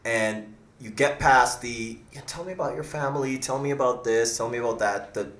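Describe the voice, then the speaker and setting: A man's voice: mid-range voice, moderate pace, fairly bright tone, slight background noise. A man in his thirties hosting a podcast in a small studio, asking rambling, jokey questions. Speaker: podcast host. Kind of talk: casual interview chat. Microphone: studio mic on arm. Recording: roomy studio echo.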